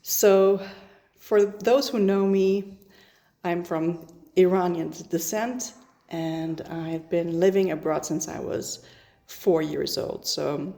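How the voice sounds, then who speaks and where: A Dutch-speaking woman talks to camera about her writing, pausing and restarting as she gathers her thoughts. Her voice is mellow and a little low, never sharp. She comes across as reflective and sincere. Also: fairly even intonation. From Iran, raised in the Netherlands. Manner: thoughtful, with many restarts and fillers. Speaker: author. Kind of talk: casual self-introduction to camera.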